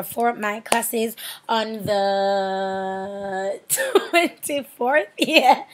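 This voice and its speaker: A hoarse young woman with a Jamaican accent, rambling casually with many fillers in a makeup tutorial voiceover. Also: on the high side. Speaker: makeup artist.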